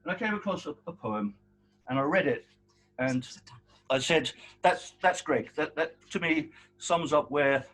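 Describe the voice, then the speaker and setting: A man's voice: fairly high pitch, lively intonation, unhurried, a bit bright, rough recording. A man in his sixties from South Africa, giving an informal eulogy at a wake with a big voice and rambling, fond storytelling full of restarts. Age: 60s.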